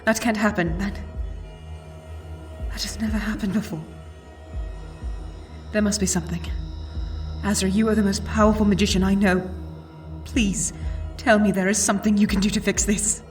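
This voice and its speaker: A woman's voice: mid-range voice, steady tone, normal speed, pauses often, natural voice. A woman acting a devoted, worried friend in a fantasy audio drama, speaking earnestly and carefully. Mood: urgent, worried. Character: Nadia, devoted, worried magical female friend. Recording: music under the voice.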